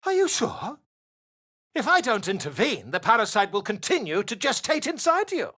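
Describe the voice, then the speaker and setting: Pompous, theatrical bard, wildly animated. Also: male voice, very high-pitched, moderate pace, no echo, studio quality, heavily acted. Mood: chatty, self-assured. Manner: pompous, florid, effusive diction. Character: flamboyant, self-important male bard and author, theatrical and excitable. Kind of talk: fantasy video game voice acting.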